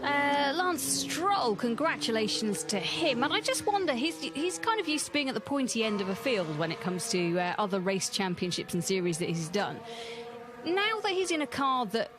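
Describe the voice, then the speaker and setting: A woman's voice: a little high, very animated, moderate pace, music in the background. A woman giving conversational live commentary on a motor racing broadcast as a co-commentator.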